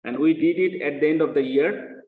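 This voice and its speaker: A man's voice: on the high side, normal speed. Male lecturer in an echoey room. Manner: repeats phrases, asks short check questions. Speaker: accounting lecturer. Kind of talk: teaching lecture with worked example. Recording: echoey room, dull muffled sound.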